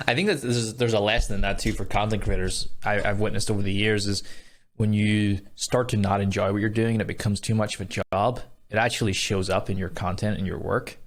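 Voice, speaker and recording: A man's voice: lowish voice, steady tone, a little fast. A Scottish man hosting a podcast, talking in a conversational interview style. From Scotland.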